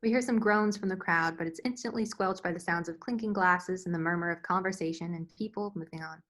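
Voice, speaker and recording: A woman's voice: fairly low pitch, a little fast, a bit bright. A woman reading scene directions as scripted narration at a screenplay table read. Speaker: stage directions reader.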